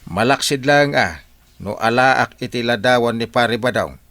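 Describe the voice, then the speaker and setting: Man acting village captain, Ilocano accent, gravelly, scheming, exasperated, dry close sound, comedy radio drama. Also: medium pitch, moderate pace, fairly bright tone, no room sound, lightly acted. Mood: sly.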